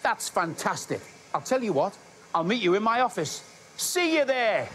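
Middle-aged guy hosting kids storytime, very animated, voice a bit high. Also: moderate pace, a little hiss or hum. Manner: animated, addressing young viewers directly. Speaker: children's story presenter. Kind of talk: scripted storytime presenting to children.

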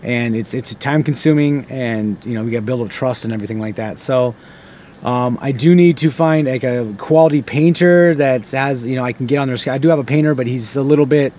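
American man vlogging over clear background noise. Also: mid-range voice, a little fast, darkish tone. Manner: rambling, with frequent false starts. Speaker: renovation contractor and vlogger. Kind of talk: casual unscripted vlog talk. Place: inside a parked car.

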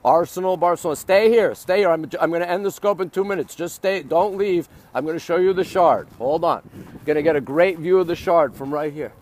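A middle-aged man with a slightly high voice hosts a casual live stream. He speaks outdoors in the city, addressing viewers directly in short phrases that he often repeats. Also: brisk, darkish tone, a little hiss or hum. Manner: repetitive, short exclamatory phrases. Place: outdoors on city streets by a river.

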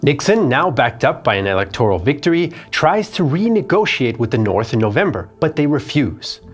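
Lively male documentary narrator. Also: mid-range voice, moderate pace, husky, faint music behind. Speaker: history documentary host. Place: dark studio set.

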